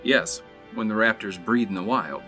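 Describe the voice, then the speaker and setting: Man playing low-voiced, curious dinosaur expert. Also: normal speed, pushed and bright. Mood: curious, guarded. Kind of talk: fan audio drama acting. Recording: music under the voice.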